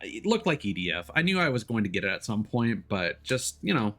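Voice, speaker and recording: A man chatting casually as he plays a video game on stream, often pausing and restarting mid-thought. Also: lowish voice, sing-song, a bit bright. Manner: chatty, hesitant mid-thought, playful exclamations. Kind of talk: casual gameplay commentary and chat. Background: faint game music.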